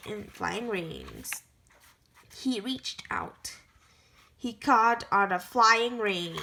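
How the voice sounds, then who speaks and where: Slow, bright-voiced woman reading a storybook. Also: a little high. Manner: careful, halting reading with frequent self-corrections. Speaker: storybook reader. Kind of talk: reading a picture book aloud.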